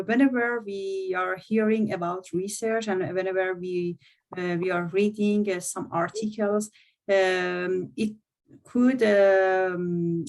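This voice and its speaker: Slow, halting non-native woman lecturer. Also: fairly low pitch. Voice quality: non-native English speaker. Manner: halting, searching for words, frequent hesitations. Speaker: university course instructor. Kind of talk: presenting a course overview in an online meeting.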